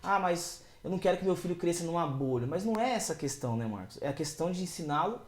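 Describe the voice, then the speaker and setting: A man's voice: on the high side, lively intonation, fast talker, echoey room. A man from Brazil, a pastor hosting a church podcast, asking long winding questions in an earnest way. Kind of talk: casual podcast interview.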